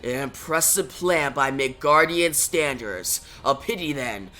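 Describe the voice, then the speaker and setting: A man's voice: medium pitch, unhurried, bright, forceful tone, voice pushed down. A man voicing a cruel, ancient fire giant who speaks in grandiose threats. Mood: menacing. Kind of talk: amateur comic book reading.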